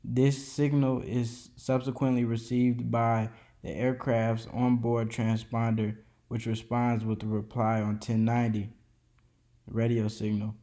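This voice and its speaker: A man narrates an educational presentation in a level, mellow voice that barely rises or falls. He reads steadily from a script and now and then trips over a word and starts it again. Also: mid-range voice, level tone, measured pace. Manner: reads steadily from a script, with small slips and restarts. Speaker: student presenter. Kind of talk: read-aloud educational narration.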